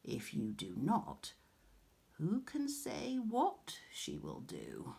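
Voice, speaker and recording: A frail storybook crone, acted by a woman, speaks in a breathy, hushed voice. She drags out her solemn warnings very slowly, with pitch that sways up and down. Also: wildly swooping pitch, full character voice. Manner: slow, drawn-out, admonishing.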